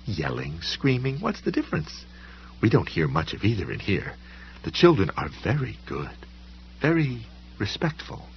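A man voicing a polite, condescending woman librarian in an audiobook story, with a smooth, quietly menacing manner. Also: on the low side, huge pitch swings, moderate pace, dull audio, a little hiss or hum. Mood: smoothly dismissive. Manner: smooth, coolly dismissive, faintly patronizing.

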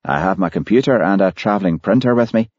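A man's voice: sits low, animated, fast, darkish tone, slightly rough, no room sound, highs cut off. A man playing a clever, sycophantic male detective in an audiobook, efficient and deferential. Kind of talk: audiobook character voice.

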